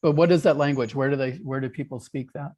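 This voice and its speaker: A male host leads a casual conversation and asks curious, probing follow-up questions. His pitch sits in an ordinary middle range. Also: fairly even intonation, fast talker, darkish tone.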